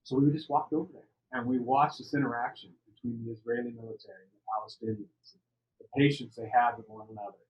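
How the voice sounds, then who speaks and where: A middle-aged man from the United States telling personal stories on a podcast in an animated, conversational way, repeating words for emphasis. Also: mid-range voice, quick pace, dull audio. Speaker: podcast interview participant. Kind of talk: personal storytelling.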